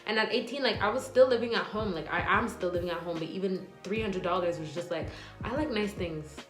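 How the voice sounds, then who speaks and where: A woman's voice: mid-range voice, a little fast. A woman in her twenties, a nurse answering viewer questions in a casual vlog, chatty and rambling with lots of fillers. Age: 20s. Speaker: nurse and vlogger. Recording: echoey room with faint music.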